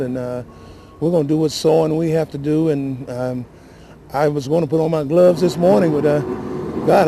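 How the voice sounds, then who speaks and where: A shaken, weary man speaking haltingly and earnestly in a live news interview, often repeating himself. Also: fairly high pitch, vocal fry, noisy background. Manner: halting, repetitive, emotional and earnest. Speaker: city councilman giving interview.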